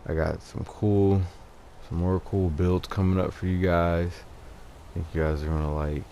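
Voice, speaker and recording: A man giving casual gameplay commentary as a video host, low-key and halting as he thinks aloud. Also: little pitch movement, warm tone, muffled recording, a little hiss or hum.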